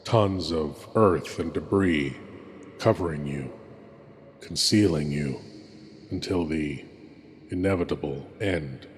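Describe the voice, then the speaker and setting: A man playing a suave, sinister horror host, intimate and menacing, delivering a dramatic old-time radio monologue with long pauses. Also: extremely low pitch. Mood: ominous, hushed. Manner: slow, dramatic, pausing between phrases. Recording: echoey long reverb, background hiss.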